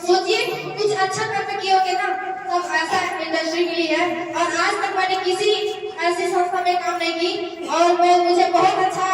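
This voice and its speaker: A woman gushes in an effusive testimonial, her voice very high. She is heard from afar in a big hall with a long reverb and noise underneath. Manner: gushing, effusive praise of her mentor. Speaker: seminar speaker giving a testimonial. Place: large indoor seminar hall. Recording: distant mic in echoey hall, noise.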